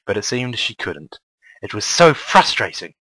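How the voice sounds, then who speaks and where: A man's voice: fairly low pitch, very animated, unhurried, bright, forceful tone, husky, no echo, poor audio. A man narrating a scripted first-person story.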